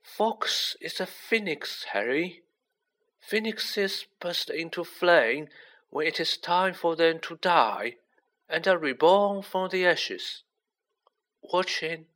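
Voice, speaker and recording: A man performs a wise old wizard headmaster from a storybook. The voice is raised in pitch and very slow, with soft, deliberate phrasing. He sounds gentle and learned. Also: expressive, heavily acted. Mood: calm, instructive. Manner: measured, soft-spoken, deliberate.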